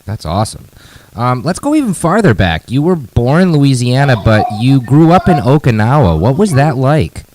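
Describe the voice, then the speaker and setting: A man's voice: medium pitch, big pitch swings, moderate pace, a little mellow, slight background noise, rough recording. A man interviewing a guest as a podcast host in a casual, conversational style.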